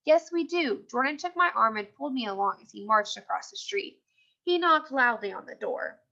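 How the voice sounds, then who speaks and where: A woman teacher reads a children's story aloud with dramatic flair. Her voice is fairly high and bright, with very lively intonation, and the recording is a little dull and lo-fi. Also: normal speed, creaky. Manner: animated, dramatic reader. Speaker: teacher reading aloud to a class. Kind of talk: expressive read-aloud of a children's chapter book.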